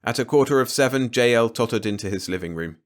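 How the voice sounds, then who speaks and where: Man, story narrator, mid-range voice, lively intonation, scripted reading. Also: mid-range voice, fast talker. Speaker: audiobook narrator.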